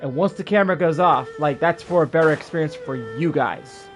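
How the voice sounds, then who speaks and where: Male game streamer, slightly high voice, tired, slangy casual commentary over faint music. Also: animated, a little fast. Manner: slangy, mild swearing, muttering asides. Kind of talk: casual livestream gameplay commentary.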